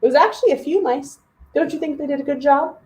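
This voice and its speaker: A woman's voice: high voice, fast talker, a little mellow, voice pushed up, natural voice. A woman voicing a cheeky, modest young man in a storybook, cheerful and polite. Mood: playful. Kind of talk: storybook character voice.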